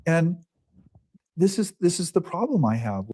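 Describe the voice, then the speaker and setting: Exasperated male debater, lively rising-and-falling delivery, mellow tone, stacks up rhetorical questions. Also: fairly high pitch, unhurried, breathy edge. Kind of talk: heated debate.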